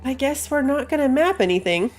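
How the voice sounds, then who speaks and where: A woman's voice: high-pitched, animated, brisk, a little mellow, soft background music. A woman giving casual live commentary as she plays a game, chatting and thinking aloud. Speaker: game streamer.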